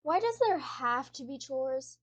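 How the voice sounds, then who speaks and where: Actress doing eager stubborn little kid boy, high childish voice, radio play. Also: sing-song, unhurried. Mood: curious. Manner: childlike, insistent. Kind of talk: radio drama acting.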